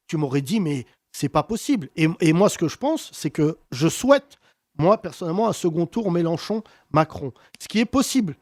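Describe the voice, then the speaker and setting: A man hosting a heated political debate, talking with passion and emphasis and wide swings in pitch. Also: a little mellow, no room sound, clean studio sound. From France. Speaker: host.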